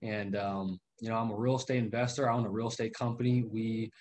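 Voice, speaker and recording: A man's voice: on the low side, flat delivery, quick pace, darkish tone, a little hiss or hum. A man in his twenties, a guest in a casual interview, earnest and loose with fillers. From Southern California. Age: 20s. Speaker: guest, real estate investor.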